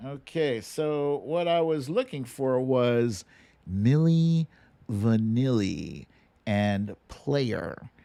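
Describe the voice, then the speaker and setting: A man's voice: mid-range voice, animated, extremely slow, vocal fry, mellow. A man hosting a casual livestream guessing game, chatting playfully and informally straight to his viewers. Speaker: livestream host running a song-mashup guessing game.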